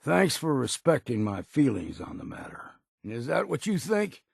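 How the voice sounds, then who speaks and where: A gruff older island hunter for a video game. The voice is heavily gravelled and husky, swinging freely in pitch, with wary, stubborn pride and a folksy rustic turn of phrase. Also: male voice, very animated, normal speed, dry recording, clean studio sound, exaggerated voice. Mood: stubborn, indignant. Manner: folksy rustic speech with dropped grammar and ain't. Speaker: game character voice actor. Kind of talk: video game dialogue acting.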